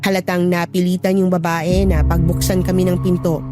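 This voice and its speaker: A woman's voice: on the low side, little pitch movement, normal speed, music in the background, lightly acted. A woman reading scripted first-person horror narration as a storyteller.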